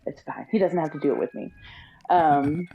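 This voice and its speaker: A woman's voice: sits low, steady tone, moderate pace. A woman from the US Midwest, a guest on a podcast, chatting casually and laughing often. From Illinois, US Midwest. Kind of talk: casual interview. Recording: dull lo-fi audio.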